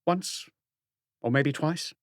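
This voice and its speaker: A stubborn middle-aged working woman, played by a male narrator, pitched well above his natural register. She answers curtly and defensively, giving nothing away, in a close, dry audiobook sound. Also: takes it slowly, dry recording. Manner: curt, evasive, defensive answers.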